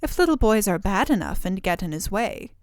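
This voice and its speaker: A woman's voice: mid-range voice, big pitch swings, fairly quick, no echo, studio quality, close to an everyday voice. A woman voicing the sweet-talking wife of a man-eater, using an old-fashioned country dialect. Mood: coaxing.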